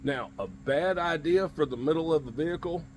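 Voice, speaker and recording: A man's voice: on the high side, moderate pace, darkish tone, a little hiss or hum. A man in his forties giving an informal, plain-spoken instructional demonstration outdoors, repeating key points for emphasis. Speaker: host of CB radio tips videos. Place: outdoors in a home driveway beside a pickup truck.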